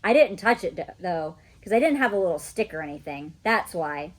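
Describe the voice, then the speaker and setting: An excitable little kindergarten girl , acted out by a woman reading a storybook, chattering with cheeky emphasis. The pitch leaps around in a lively way, with a slightly husky edge to the childlike delivery. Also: a little fast. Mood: cheeky, matter-of-fact. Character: excitable, mischievous little kindergarten girl telling her own adventures. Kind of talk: children's storybook read-aloud.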